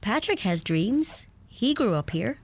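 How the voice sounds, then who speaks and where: A young bride-to-be, with a medium-pitched voice. She is direct and sure of herself, yet tender, and speaks. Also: big pitch swings, a little slow. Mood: curious, sympathetic. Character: self-possessed, tender young American bride-to-be. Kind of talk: radio play acting.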